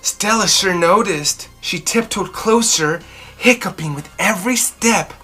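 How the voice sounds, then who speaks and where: A woman reading a children's story aloud in an expressive, dramatic and deliberate narrating style. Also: mid-range voice, breathy edge, soft background music. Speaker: storybook reader.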